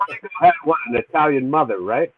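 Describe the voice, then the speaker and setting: A man's voice: mid-range voice, expressive, a little fast, a little hiss or hum. Easygoing man on a tinny line. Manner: asking questions, easygoing. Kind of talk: casual podcast banter. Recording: tinny phone-like remote line.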